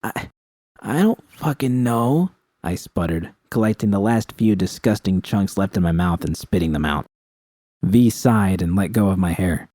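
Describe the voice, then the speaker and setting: A man's voice: low-pitched, normal speed, mellow, no room sound, clean studio sound. A man reading a scripted first-person story as an audiobook narrator.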